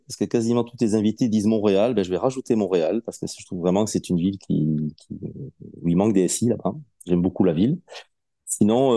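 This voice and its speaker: A man in his late forties with a low, mellow voice talks as a podcast guest. He is relaxed and unhurried, often hesitating and restarting his sentences. The sound is dry and close. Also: no room sound. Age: 40s. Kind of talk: casual interview chat.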